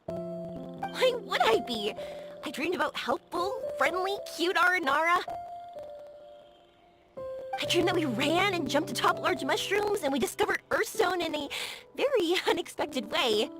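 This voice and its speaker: A woman playing a bubbly, warm-hearted young woman in an anime-style video game, gushing and earnest in her delivery. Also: very high voice, animated, unhurried, long pauses, over loud music. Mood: dreamy, earnest.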